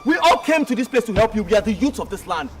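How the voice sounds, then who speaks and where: Swaggering young Nigerian village tough, high and animated, confrontational drama delivery over crowd noise. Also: male voice, quick pace, recorded from a distance. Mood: angry, threatening. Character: angry, swaggering young village youth enforcer. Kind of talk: film drama acting, loud confrontation. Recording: loud music and crowd behind, outdoors.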